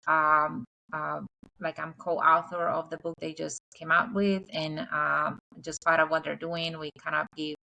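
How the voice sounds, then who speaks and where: A woman's voice: on the low side, vocal fry, sharp tone, rough recording. A middle-aged woman from the Dominican Republic whose first language is Spanish, a guest architect answering casually over a video call with lots of fillers. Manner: chatty, frequent fillers.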